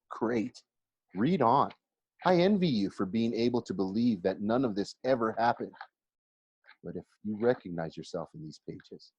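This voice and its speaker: A man reads a first-person story aloud in an expressive, conversational way, with dramatic emphasis and pauses. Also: lowish voice, slightly rough. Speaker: teacher reading a novel aloud for a class. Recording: roomy, slightly dull home recording.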